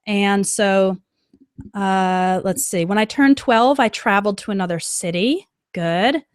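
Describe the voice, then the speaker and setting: A woman teaches a live English lesson, slowing down to model example sentences clearly. Her voice sits in an ordinary middle pitch. It is recorded close on the mic, with a dry sound and no room echo.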